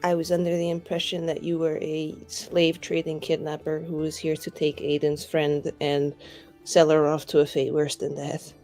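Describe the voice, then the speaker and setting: A woman acts out a young female spellcaster in a tabletop roleplaying game, flippant yet apologetic. Her voice sits somewhat low, and she rambles casually with halting turns. Faint music plays underneath. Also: completely flat delivery, warm tone. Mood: sheepish.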